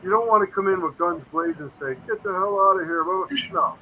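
A man's voice: sits high, brisk, sharp tone, slight background noise, low-quality recording. A man in his fifties telling stories in a casual interview, earnest and rambling, often quoting himself. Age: 50s. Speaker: paranormal investigator guest.

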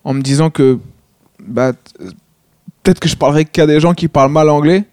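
Fairly high, dry-voiced man chatting. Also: steady tone, brisk, darkish tone, clean tone, no room sound. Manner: hesitant, many restarts and fillers. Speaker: stand-up comedian. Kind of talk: casual conversation.

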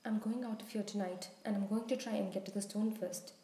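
Casting: female narrator as earnest, defiant schoolboy hero, urgent delivery, mellow tone, roomy sound. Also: mid-range voice, fairly even intonation, fairly quick, a little hiss or hum, natural voice. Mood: angry, defiant. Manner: urgent, insistent.

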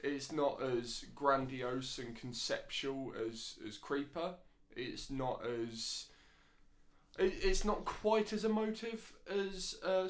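A man hosting a podcast, counting down his favourite albums with enthusiasm, sounding a little husky after a night out. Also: medium pitch. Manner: enthusiastic, builds lists of comparisons, filler ums. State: slightly husky after drinking. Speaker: podcast host. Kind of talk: casual music review chat.

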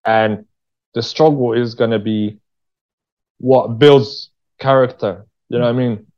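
A man's voice: medium pitch, fairly even intonation, a little slow. Candid man, slightly muffled recording. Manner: candid, slangy, emphatic with repeated phrases. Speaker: interview guest, screenwriter and director. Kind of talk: casual chat.

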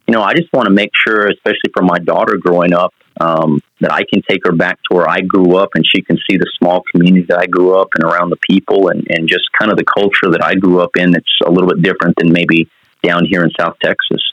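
Low-voiced earnest coach from New Mexico. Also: male voice, fairly even intonation, fast talker, a bit bright, dry recording, lo-fi sound. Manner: earnest, many "you know" fillers. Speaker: basketball coach. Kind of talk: casual interview answers.

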